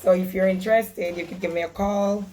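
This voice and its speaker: A woman from Jamaica, hoarse, chatting casually through a DIY craft tutorial and explaining each step. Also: mid-range voice, fairly even intonation, a little fast, mellow, slight background noise. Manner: chatty and instructive, apologetic about her voice.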